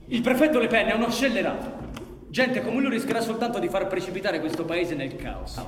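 A man's voice: high voice, expressive, fairly quick, slight background noise. A man playing an earnest, courteous police commissioner in radio theatre, speaking formally, articulately and persuasively. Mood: earnest, insistent. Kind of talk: Italian radio theatre acting. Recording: echoey hall with long reverb.

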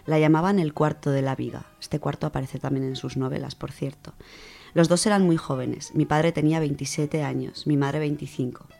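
A woman's voice: low voice, fairly even intonation, moderate pace, vocal fry, a little mellow. A woman with an accent from Spain presents an informal book segment in a chatty, colloquial, enthusiastic way. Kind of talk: informal spoken book review.

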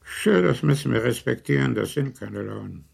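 A man acting a calm, reassuring older family doctor in a radio play, measured and matter-of-fact. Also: medium pitch, creaky, husky, exaggerated voice. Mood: thoughtful, accepting.

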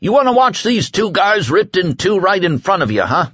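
A man voicing a terrified but defiant burly male cook who shouts and pleads with a crowd. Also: sits high, sing-song, moderate pace, husky, dry recording, dull audio, voice pushed up, exaggerated voice. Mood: pleading.

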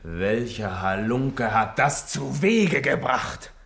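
Blunt, hot-tempered soldier. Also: male voice, medium pitch, lively intonation, slow pace, creaky, fairly bright tone, full character voice. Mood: angry, threatening. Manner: blunt, scornful, commanding. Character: gruff male soldier captain, hot-tempered, honour-bound. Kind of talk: German radio play acting.

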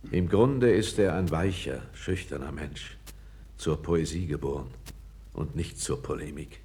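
An actor playing a calm, candid foreign court councillor, speaking with measured, deferential gravity in a 1960s radio play. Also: male voice, extremely low pitch. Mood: reflective, pointed. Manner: measured, grave, deferential yet frank.

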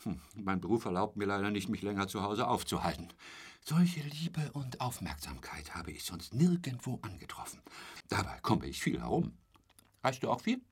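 A boastful travelling salesman in a radio play. His low, husky voice swoops about wildly as he rambles on, chummy and crude, often sinking into a breathy murmur. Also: big pitch swings, moderate pace, heavily acted. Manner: chatty, rambling storyteller with a pet polite phrase, correcting himself. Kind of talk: German radio drama acting.

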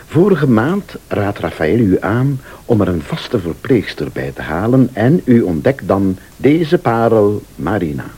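Radio thriller, insistent accuser: low, slightly husky, deliberate, theatrical, insinuating. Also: male voice, big pitch swings, mellow, heavily acted. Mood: grave, insinuating. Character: male late-night accuser, shrewd, insistent, laying out a murder plot. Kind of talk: Dutch-language radio thriller acting.

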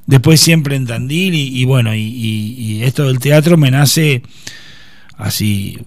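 A man's voice: mid-range voice, unhurried, no echo. A man in his fifties with an Argentine accent, telling his life story as an interview guest, with many hesitations and restarts. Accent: Argentine Spanish. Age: 50s. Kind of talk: casual interview.

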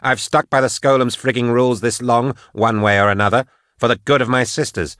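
Man acting young woman, audiobook heroine, hot-tempered, defiant, sharp, insistent, raised pitch, dry recording. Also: fairly even intonation, brisk, dry recording, natural voice. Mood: furious, defiant.

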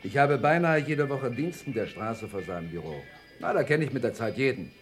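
Over faint music, a man's medium-pitched voice swings widely. It is an actor as a genial police sergeant in a radio crime drama, teasing and jovial, savouring the suspense. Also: lively intonation, fairly quick, lightly acted. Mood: friendly.

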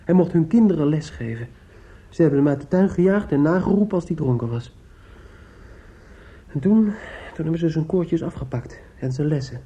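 Earnest, husky, muted young suitor. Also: male voice, fairly high pitch, expressive, normal speed, mellow. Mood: bitter, vengeful. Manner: probing, insistent, hesitating at times. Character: earnest young suitor, protective, quietly vengeful toward townsfolk. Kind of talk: Dutch radio play acting. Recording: muffled, lo-fi old radio recording.

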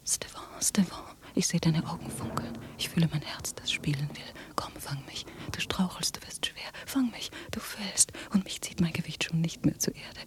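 A woman's voice: sits low, moderate pace, hardly any pauses, close to an everyday voice. An actress playing a feverish, love-struck woman in a radio drama, whispering breathlessly in an intense, incantatory rapture. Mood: trembling awe. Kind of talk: literary radio drama monologue.

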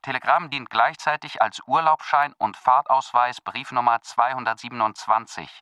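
An actor voicing a clipped, impersonal official reading out a military telegram in a radio drama. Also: male voice, mid-range voice, steady tone, moderate pace, pushed and bright, no room sound, clean studio sound, close to an everyday voice.

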